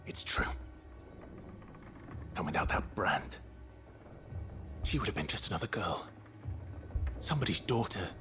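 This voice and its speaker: A man playing a gruff, principled young wanderer in a video game cutscene, terse and grave. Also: deep voice, animated, a little slow, long pauses. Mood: grave, reproachful. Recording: music under the voice.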